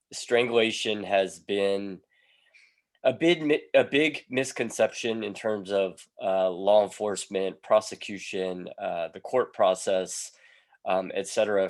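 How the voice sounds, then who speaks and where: Cop giving a talk on a video call, congested, halting, deep low voice. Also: male voice. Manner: hesitant, many ums between phrases. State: congested with a cold. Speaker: police sergeant.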